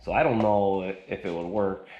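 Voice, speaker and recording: A man speaks in a very low register, reviewing a gadget in a loose, rambling, colloquial way. He is indoors, and the recording is roomy and echoing, with clear noise behind him. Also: normal speed, dull audio. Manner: rambling, colloquial, folksy slang. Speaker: product reviewer. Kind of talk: casual hands-on gadget review. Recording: echoey room with loud noise.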